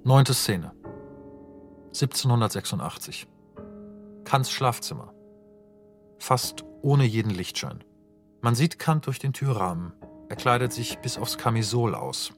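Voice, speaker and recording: A man narrating scene directions for a radio drama in a sober, measured, precise manner. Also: mid-range voice, animated, soft background music.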